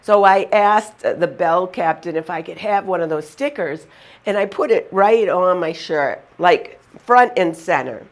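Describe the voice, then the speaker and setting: A woman's voice: fairly low pitch, unhurried. A woman with a Boston accent telling funny personal stories to the camera in a chatty, amused way. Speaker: vlogger. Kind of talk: casual storytelling to camera.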